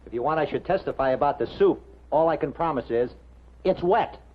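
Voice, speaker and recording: An actor playing a chatty, droll American diner counterman, husky and slangy, in a 1950s television drama. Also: on the high side, a little fast, close to an everyday voice. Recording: muffled 1950s television soundtrack.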